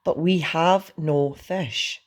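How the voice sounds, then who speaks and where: A man's voice: high voice, wildly swooping pitch, moderate pace, darkish tone, slightly rough, voice pushed up, full character voice. A man voicing an earnest young Viking boy, pleading and trying to sound firm. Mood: firm, exasperated.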